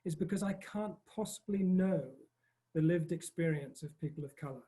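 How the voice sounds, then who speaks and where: A man from northern Scotland with a posh British accent, speaking on an online panel in a halting, self-deprecating way with many fillers, from outdoors in a field. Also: a little high, dark tone.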